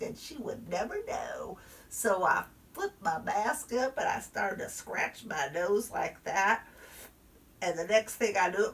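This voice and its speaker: A woman's voice: medium pitch, a bit bright, distant mic, heavily acted. A woman storyteller voicing a chatty little first-grade girl who confides in her listeners. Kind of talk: performed storytelling.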